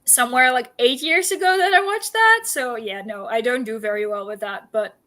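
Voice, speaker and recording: Female stream co-host, bright and slightly high, informal, self-deprecating chat. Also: normal speed. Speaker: co-host of reading livestream. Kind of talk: casual chat.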